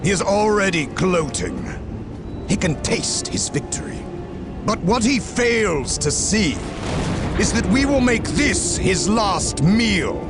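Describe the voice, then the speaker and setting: An actor playing a stern, zealous space marine captain in a video game, speaking in grand, commanding oratory. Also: male voice, high-pitched, very animated, slow pace, over loud music, heavily acted. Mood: grim resolve. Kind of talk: video game cutscene battle speech.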